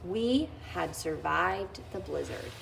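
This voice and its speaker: A woman's voice: fairly low pitch, animated, slow pace, vocal fry, a little hiss or hum, low-quality recording. A woman reading a children's picture book aloud, lifting her voice expressively on key phrases. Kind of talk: read-aloud storytelling.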